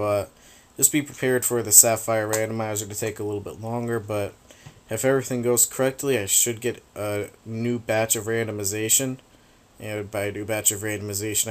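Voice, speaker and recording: A man giving rambling, casual commentary over a video game battle, swearing offhandedly, his voice getting raspy from talking a long time. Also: fairly low pitch, flat delivery, a little fast, slight background noise.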